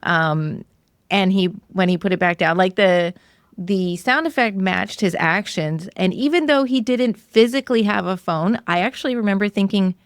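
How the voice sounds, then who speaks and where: A woman's voice: mid-range voice, normal speed, no echo, studio quality. A woman hosting a podcast in casual conversation, thinking aloud and rephrasing as she goes. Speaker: podcast host.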